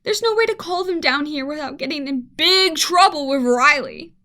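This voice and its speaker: A woman acting a worried scientist and mother in an amateur radio play, speaking in an emotional, halting, conversational way. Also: sits high, lively intonation, bright, forceful tone. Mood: sad, torn.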